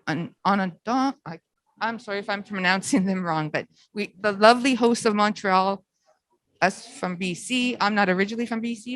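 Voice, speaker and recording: A woman's voice: medium pitch, fairly bright tone, no room sound. A woman presents at a conference in an informal, slightly hesitant and polite way.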